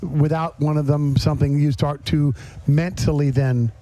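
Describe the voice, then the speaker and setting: A man's voice: a little high, fairly even intonation, normal speed, warm tone. A man hosting a podcast in a casual, folksy way, often interjecting and repeating his phrases. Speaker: podcast host. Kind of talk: casual live chat.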